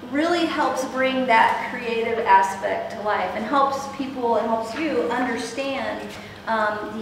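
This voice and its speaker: A middle-aged woman leading an interactive workshop, speaking with frequent ums and checking in with the room. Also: a little high. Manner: upbeat, many ums, asks the room questions. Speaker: workshop instructor. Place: large meeting room with projector. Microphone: far camera, no mic. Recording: echoey room, distant, noisy.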